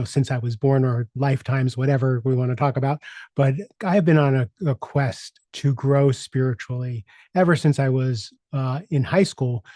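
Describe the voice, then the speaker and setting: Mellow-voiced man in his sixties. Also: mid-range voice, no echo, studio quality. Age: 60s. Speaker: podcast host and leadership coach. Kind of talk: reflective solo podcast talk.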